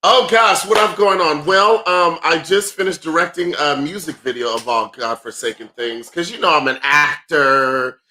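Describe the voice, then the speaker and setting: A man in his thirties, a comedian guest on a video call, chatting with exuberant, theatrical bursts of loud exclamations. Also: a little high, normal speed, hardly any pauses. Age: 30s. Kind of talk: excited casual chat.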